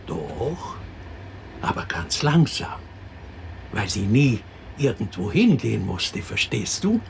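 Slow, expressive, creaky-voiced fairy-tale storyteller. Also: medium pitch, full character voice. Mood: patient storytelling. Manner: slow, patient, expressive, explaining to a child. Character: storyteller telling a child a princess fairy tale. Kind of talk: radio drama fairy-tale telling. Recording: noticeable background noise.

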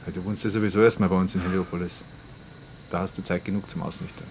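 A man's voice: sits low, little pitch movement, fast talker, noisy background. An actor playing a wry, worldly young man in a German radio drama, chatting breezily. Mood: teasing.

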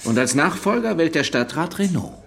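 An actor playing a shrewd, methodical detective in a radio drama, laying things out deliberately and pointedly. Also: male voice, a little high, sing-song, creaky, fairly bright tone, heavily acted. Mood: insinuating.

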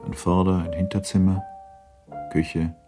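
A man narrating a classic novel for a radio drama as a sober, measured and matter-of-fact storyteller. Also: sits low, little pitch movement, over music.

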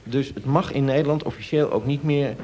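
A man's voice: mid-range voice, brisk, warm tone, a little hiss or hum. Hesitant man explaining things informally. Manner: hesitant, searching for words, explanatory. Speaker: nutrition expert answering audience questions. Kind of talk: informal spoken advice in a radio discussion.

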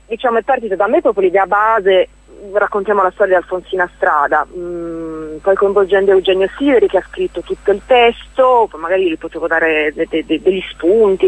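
A woman's voice: medium pitch, no room sound, low-quality recording. A woman answers interview questions conversationally, often hesitating and rephrasing as she explains. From Romagna, Italy. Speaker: stage actress, interview guest.

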